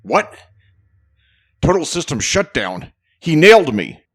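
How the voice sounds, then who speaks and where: A man's voice: on the high side, very animated, slow, exaggerated voice. A man playing a jittery, wisecracking computer hacker in a comic dub. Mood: startled. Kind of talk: comic book dub acting.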